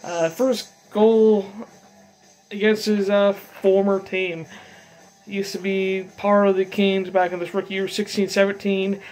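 A young man talks casually like a sports fan recapping a game from his notes. His delivery is halting, and he trips over names and numbers. Faint music is present. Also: high voice, little pitch movement, a little mellow, poor audio. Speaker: hockey fan vlogger. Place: dim bedroom, seated at desk.

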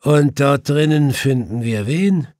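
A man's voice: medium pitch, takes it slowly, darkish tone, dry recording, studio quality, voice pushed up, natural voice. A man voicing a grumpy, sleepy police inspector in a growling, sardonic audiobook style. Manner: grumbling, sardonic.

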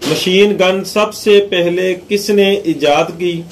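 A man narrating scripted quiz questions and answers in a measured, deliberate reading. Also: high voice, fairly even intonation, slight background noise.

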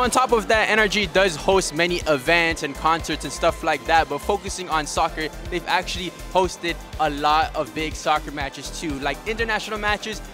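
Upbeat soccer vlogger. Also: male voice, fairly high pitch. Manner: upbeat, addresses viewers as guys. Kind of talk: travel vlog narration. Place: outdoors and inside a large stadium.

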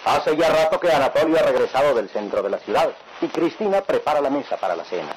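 A man's voice: mid-range voice, sing-song, fast talker. Male narrator for a vintage radionovela, dramatic, widely varied intonation, noisy old radio sound.

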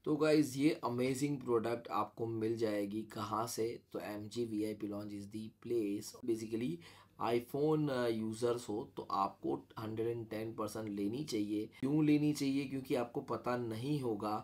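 An Indian man giving a casual product demo and sales pitch, speaking informally and mixing in English phrases. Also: fairly even intonation, unhurried, a little mellow. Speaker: gadget reviewer and seller.

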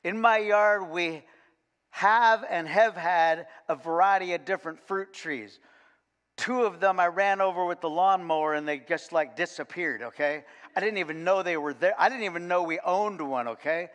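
An older man preaching a sermon in a folksy, animated way, full of repeated words and "okay?" asides. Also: high voice, moderate pace. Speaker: pastor. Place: large church sanctuary, indoors. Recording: long reverb of a church hall.

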